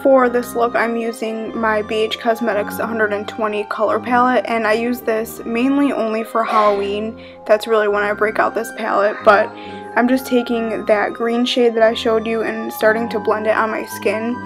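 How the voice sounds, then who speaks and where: A woman's voice: fairly high pitch, moderate pace, music in the background. A young woman in her twenties casually talking through a makeup tutorial with frequent fillers. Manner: casual, chatty, relaxed with frequent "um" and "like". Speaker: makeup vlogger. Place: living room with red couch.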